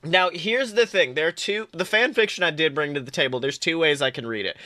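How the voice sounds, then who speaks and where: A man's voice: on the high side, a little fast, pushed and bright, no room sound. A young man co-hosting a podcast, chatting casually and swearing freely, with frequent laughs. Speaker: podcast co-host. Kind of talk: casual chat and reading fan fiction aloud.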